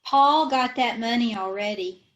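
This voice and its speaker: A woman's voice: a little high, fairly bright tone. A woman playing a dotty, sweet-talking wife in an amateur old-time radio play. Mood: sweetly dotty. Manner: slow, dotty, syrupy sweet.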